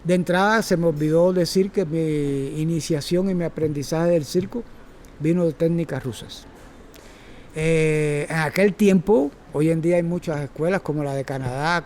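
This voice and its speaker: A Cuban-accented man in his sixties lectures a class on circus method. His voice sits a little high yet sounds warm and mellow. He rambles, stops, and corrects himself as he goes, over a faint hiss of noise. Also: moderate pace. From Cuba. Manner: rambling, self-correcting, chatty. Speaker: circus instructor. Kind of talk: informal workshop lecture.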